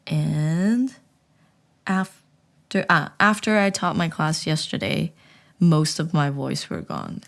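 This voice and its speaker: Young woman, low register, animated and self-mocking, casual vlog delivery. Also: slow, creaky. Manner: rambling, with many restarts. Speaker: vlogger. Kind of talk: casual storytelling chat.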